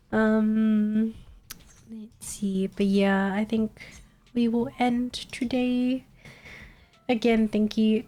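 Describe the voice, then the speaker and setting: A woman's voice: medium pitch, dark tone. A woman streamer chatting casually late at night, a little nasal from a cold, rambling and laughing often. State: recovering from a cold, slightly nasal.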